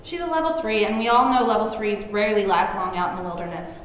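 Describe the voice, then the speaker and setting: A woman's voice: mid-range voice, fast talker, natural voice. Woman playing a worldly thrill-seeker, very echoey. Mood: nonchalant. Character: worldly, thrill-seeking woman, reassuring underground guide. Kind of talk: screenplay table read. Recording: very echoey, distant, noisy room recording.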